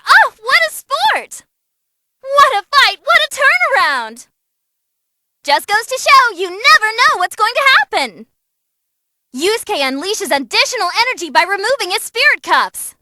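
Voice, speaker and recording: A woman voicing an excitable, cheeky young female tournament announcer in a video game, with theatrical, exclamatory commentary. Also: extremely high pitch, no echo, clean studio sound, exaggerated voice. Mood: excited, dramatic.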